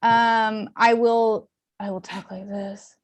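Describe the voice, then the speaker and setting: A woman with a fairly high voice introduces herself on a video call. She talks casually into her computer microphone. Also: level tone, takes it slowly, dry recording. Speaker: actress.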